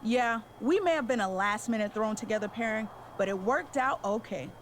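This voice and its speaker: Female pro wrestler for a game cutscene, actress: friendly, determined, very animated delivery, faint background noise. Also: on the high side, normal speed, natural voice. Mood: excited.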